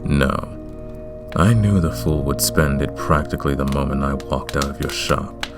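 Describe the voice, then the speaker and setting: Vampire king, acted by a man: extremely low, unhurried, courtly and commanding, smitten, for romantic ASMR roleplay. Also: over music. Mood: stern, regretful. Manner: formal, courtly, deliberate.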